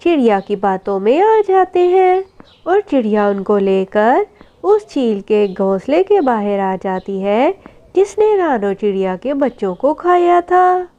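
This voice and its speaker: A woman's voice: on the high side, very animated, unhurried, mellow. A woman narrating a scripted children's story in a dramatic way, on slightly dull audio.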